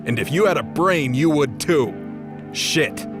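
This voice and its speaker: A man acts out a bitter, foul-mouthed fallen archangel in an audio drama. He speaks at a slow pace, cynical and quick to temper, over clearly audible music. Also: fairly high pitch, animated. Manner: cynical, combative, cursing. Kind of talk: dramatized audio fiction acting. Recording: music behind the voice.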